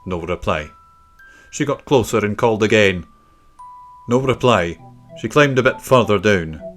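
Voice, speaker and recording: Low-voiced man narrating a children's story. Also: expressive, measured pace, a bit bright. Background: faint music.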